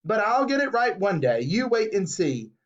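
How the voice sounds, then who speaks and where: A man voicing a gentle, fretful eccentric inventor, in a muffled recording. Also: sits high, sing-song, normal speed, pitched above the performer's own voice. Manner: gentle and fretting.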